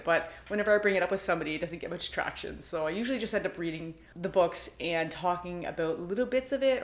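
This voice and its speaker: Chatty woman, lowish voice, podcast book club member, echoey home room. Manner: chatty, laughs often. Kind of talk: casual chat and solo recap. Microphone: computer mic on video call. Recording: roomy echo.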